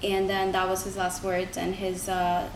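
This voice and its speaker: A woman's voice: medium pitch, completely flat delivery, a little slow. A young woman giving an earnest, informal persuasive speech, like a student presenting to a class. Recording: roomy, distant mic, noisy background.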